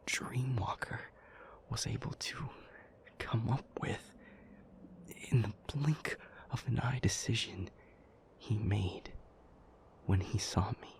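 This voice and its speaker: Low, whispery, brooding male demon voiced by a man. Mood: grave, intense. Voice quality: breathy, half-whispered. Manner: slow, deliberate, halting phrases. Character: troubled, powerful male demon confiding gravely in a lover. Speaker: audio roleplay performer. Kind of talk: intimate audio roleplay acting.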